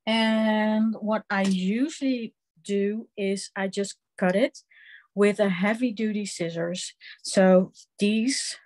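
Slow, hesitant Dutch-accented woman teaching crafts. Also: medium pitch, darkish tone. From Netherlands. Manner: slow, halting, many ums, hands-on explaining. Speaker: craft instructor. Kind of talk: live online craft class demonstration.

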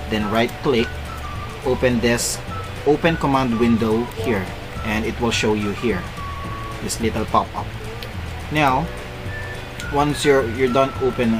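A Filipino man speaking English as a second language, hosting a screen-recorded phone tutorial. His pitch sits in an ordinary middle range, and he talks in a relaxed, hesitant way full of "um" and restarts. Music plays clearly behind him. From Philippines. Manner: casual, hesitant, many fillers and self-corrections. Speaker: tech tutorial host. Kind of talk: screen-recorded tech walkthrough.

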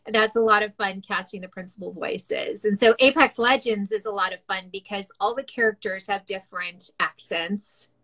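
A woman's voice: medium pitch, moderate pace, few pauses, fairly bright tone. A middle-aged woman who casts and does voices, chatting casually in an interview, laughing often between fillers. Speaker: voice casting director and voice actor.

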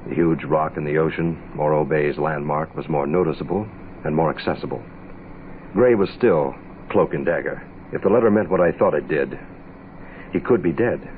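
An American man playing a cool, wry hard-boiled private investigator in a 1960s radio drama, laconic and deadpan. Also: a bass voice, a little hiss or hum. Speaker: lead actor and narrator. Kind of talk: 1960s radio detective drama acting, first-person narration. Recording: muffled phone-like old radio, music behind.

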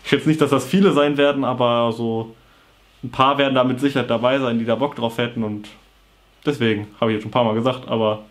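A man's voice: medium pitch, fairly even intonation, quick pace. A young adult man with a cold with a sore throat, talking casually to camera in an informal, slangy, offhand way. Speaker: vlogger. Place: small bedroom with computer desk, indoors.

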